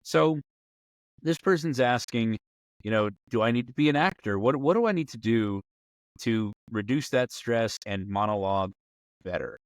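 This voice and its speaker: A man's voice: medium pitch, moderate pace, no room sound. Man hosting a show, close dry sound. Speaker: host of a tabletop role-playing advice show. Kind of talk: conversational talk show advice.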